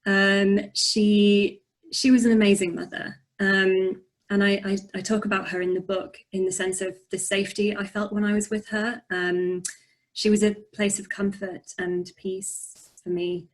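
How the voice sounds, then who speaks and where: Young woman, slight Yorkshire accent, mid-pitched, reflective and soft, video call guest. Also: flat delivery, a little slow. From north of England. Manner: soft-spoken, reflective, frequent ums. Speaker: poet and author. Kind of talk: conversational interview answers.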